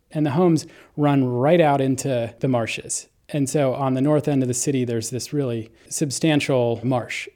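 A man's voice: mid-range voice, dark tone. A man explaining local flood risk in a descriptive way, thinking aloud as he talks.

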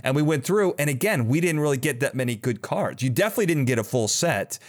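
A man's voice: medium pitch, expressive, fast. A man hosting a podcast interview, chatting casually in an enthusiastic, rambling and affirming way. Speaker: podcast host.

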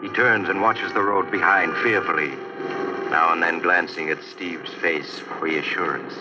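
An actor playing a sly, eerie American storyteller in 1940s radio suspense, speaking theatrically with savoring menace. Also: male voice, low-pitched, fairly even intonation, unhurried, heavy background noise. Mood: ominous, tense. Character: The Mysterious Traveler, eerie, sly, inviting male storyteller. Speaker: narrator. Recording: muffled old radio recording, distant, music underneath.

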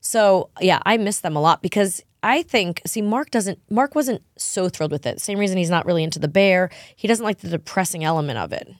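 Chatty low-pitched woman co-host. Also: a little fast, few pauses, no room sound, clean studio sound. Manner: chatty, animated, lots of laughs. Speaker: podcast co-host. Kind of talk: casual chat.

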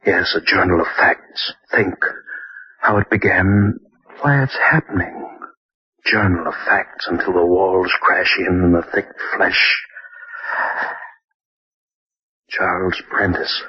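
An actor playing a brooding, guilt-haunted male chemist in an old-time radio horror drama, speaking in halting, repetitive monologue. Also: sits low, steady tone, breathy edge, no echo, muffled recording, heavily acted. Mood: haunted, desperate.

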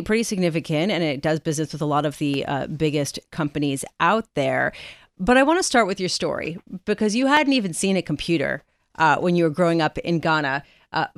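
A woman hosting a business interview show in a studio, asking deliberate, measured questions. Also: sits low, no room sound. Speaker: radio business show host. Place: broadcast studio.

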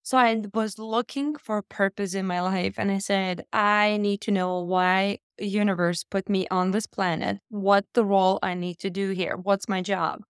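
A woman's voice: medium pitch, fairly even intonation, normal speed, hardly any pauses, clear, resonant tone, no room sound, clean studio sound. A woman from Lithuania with a Lithuanian accent, speaking as an interview guest and telling her personal story in an earnest, emphatic way. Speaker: interview guest, health entrepreneur.

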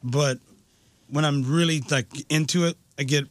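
A man's voice: on the high side, steady tone, normal speed, no echo. A man in his late forties, a guest in a casual chat, answering plainly with frequent restarts. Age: 40s. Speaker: guest bodybuilder.

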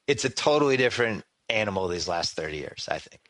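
A man's voice: fairly low pitch, big pitch swings, fairly bright tone. A man hosting a podcast interview who chats loosely, leaning on fillers like "like". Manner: chatty, fast and loose with fillers like "like". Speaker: podcast host.

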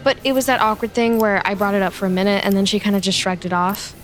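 A woman's voice: mid-range voice, quick pace, faint music behind, lightly acted. An actress playing an eager, awkward, chatty young woman in an audio drama, both confiding narration and dialogue. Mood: earnest, wistful. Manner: chatty, hesitant, nervously upbeat. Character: eager, awkward, clingy young woman, human best friend of a vampire.

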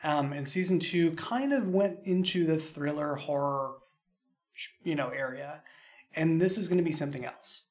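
A man talking casually as a show creator on a podcast, halting as he thinks aloud. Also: on the high side, a little mellow. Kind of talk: casual chat in a behind-the-scenes podcast.

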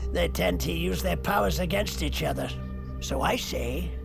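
A man's voice: fairly high pitch, unhurried, creaky, music in the background. An actor playing an aging, worldly wizard in an audio drama, sly and condescending, savoring his phrases with arch chuckles. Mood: dismissive, grandiose.

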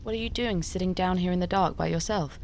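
An actress playing an anxious, apologetic niece, hesitant and stammering, in an audio drama. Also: female voice, fairly low pitch, fast talker, a little mellow, a little hiss or hum, lightly acted. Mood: startled, nervous. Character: anxious, apologetic, flustered niece under pressure.

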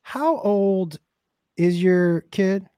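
A man's voice: high-pitched, dark tone, smooth, no echo, studio quality. Scratchy-voiced man hosting a chat. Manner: rambling, halting, many restarts. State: hoarse, losing his voice. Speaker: host. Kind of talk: casual podcast chat.

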